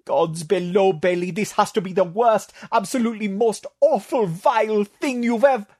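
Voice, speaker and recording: A man's voice: high voice, normal speed, a little mellow, dry recording, clean studio sound, pitched above the performer's own voice. A man voicing a panicky gilled male sea creature who whines and moans frantically. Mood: anguished. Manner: frantic, whiny, moaning.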